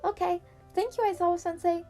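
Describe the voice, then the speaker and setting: A woman's voice: very high-pitched, fairly even intonation, brisk, warm tone, voice pushed up. Earnest, clever teen boy read by a woman, polite and explanatory, story narration with faint music behind. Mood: earnest pleading. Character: earnest, clever teenage boy student hiding his trauma.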